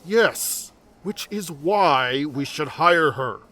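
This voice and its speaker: A man's voice: a little high, lively intonation, very slow, sharp tone, exaggerated voice. An actor playing a blunt, hard-to-please male adventurer in a fantasy audio drama, speaking in a curt, dry manner. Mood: insistent.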